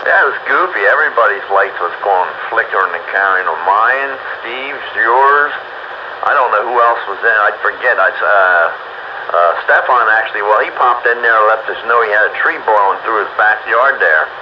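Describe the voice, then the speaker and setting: A man's voice: lowish voice, moderate pace. A man chatting casually over two-way radio, folksy and exclamatory. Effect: two-way radio transmission. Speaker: amateur radio net participant. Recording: muffled, noisy ham radio transmission.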